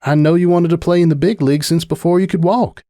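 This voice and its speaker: A man voicing a young female farm pony who is kindly, steady and teasing, with a folksy, reassuring way of talking. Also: on the high side, quick pace, no echo, clean studio sound, voice pushed up, lightly acted. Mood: encouraging.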